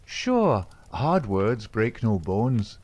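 A man reading aloud, voicing a smooth-talking Irishman in a secret society who reassures his sweetheart. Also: on the low side, sing-song, drawn-out words, heavily acted. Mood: coaxing. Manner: coaxing, reassuring, soft-spoken.

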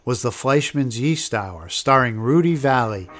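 Male documentary narrator, scripted read, average pitch. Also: expressive, measured pace, fairly bright tone, soft background music.

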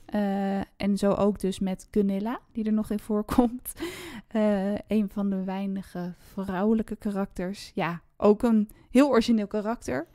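A woman's voice: medium pitch, steady tone, a little slow, hardly any pauses, warm tone, no echo. Woman in casual book chat, dry sound. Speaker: book podcast host.

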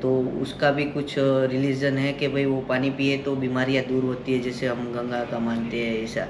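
A man seated in an office explains things in an easy, conversational way, sometimes hunting for the right word. The camera sits across the desk, so the room echoes and a steady background noise runs underneath. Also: medium pitch, moderate pace. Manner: explanatory, searching for words. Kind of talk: casual conversation. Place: indoor office.

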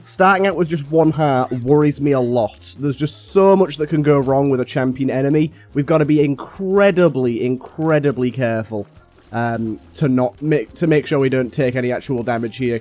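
A young man with a slightly raspy voice from being a little ill, chatting casually and thinking aloud as he plays a game. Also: medium pitch, normal speed, a little mellow, soft background music. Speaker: gaming YouTuber. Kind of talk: casual gameplay commentary.

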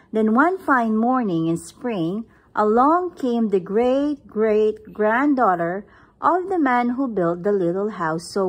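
Slow, breathy woman reading aloud. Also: medium pitch. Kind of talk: slow picture-book read-aloud. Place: indoors, at home.

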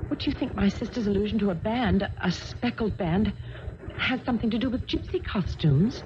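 An anxious young gentlewoman played by a woman speaks earnestly and properly at a middling pitch. The seventies radio drama recording is dull, with clear background noise. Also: normal speed, close to an everyday voice. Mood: sombre, earnest. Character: anxious, genteel young heiress seeking help. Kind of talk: 1970s radio drama acting. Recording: muffled, noisy 1970s radio recording.

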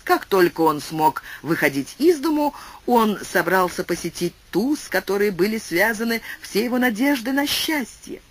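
Animated male audiobook narrator. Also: high voice, moderate pace. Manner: lively, swooping delivery with shifting pace. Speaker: audiobook reader. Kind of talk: expressive literary narration.

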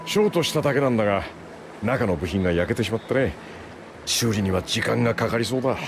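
Easygoing, gravelly anime game mechanic. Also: male voice, fairly low pitch, expressive, fast, noisy background. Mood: easygoing, explanatory. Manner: casual, familiar. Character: easygoing, helpful grown man, knowledgeable mechanic. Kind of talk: Japanese anime game voice acting.

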